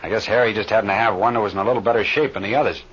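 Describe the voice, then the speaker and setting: A man's voice: lowish voice, steady tone, very fast, a bit bright, close to an everyday voice. A man playing a seasoned, plain-spoken flight leader in an old radio drama, on a muffled, hissy recording. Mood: troubled, serious. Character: seasoned male flight leader, steady, folksy mentor.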